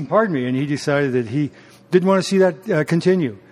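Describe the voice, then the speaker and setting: Measured man with a higher voice. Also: expressive, darkish tone, slight background noise. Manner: measured, clears throat, hesitant fillers. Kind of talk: casual interview conversation.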